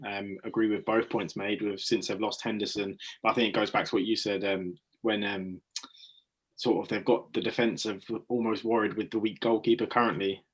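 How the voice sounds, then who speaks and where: A man giving football predictions in a casual chat, measured with frequent ums. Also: fairly low pitch, little pitch movement, husky, low-quality recording. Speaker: guest pundit.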